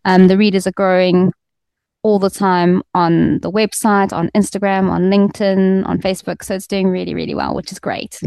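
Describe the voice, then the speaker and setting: A woman's voice: mid-range voice, level tone, normal speed, gravelly, darkish tone, clear, resonant tone, dry recording. A woman magazine editor describing her publication in a casual interview, talking easily with frequent ums and restarts. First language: Afrikaans second language; first language English. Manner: friendly, fluent with frequent ums.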